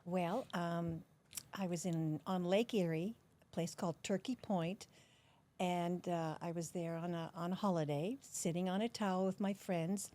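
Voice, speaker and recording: An older woman from the Netherlands, a podcast guest recounting memories in an unhurried, storytelling way. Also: fairly low pitch, steady tone. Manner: warm, unhurried storytelling with soft laughs. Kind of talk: storytelling interview.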